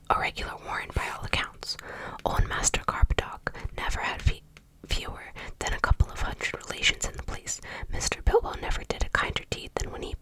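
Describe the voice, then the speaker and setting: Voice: natural voice, low-quality recording. A reader whispering the part of a gossipy, self-assured old male hobbit gardener, rambling folksy and opinionated.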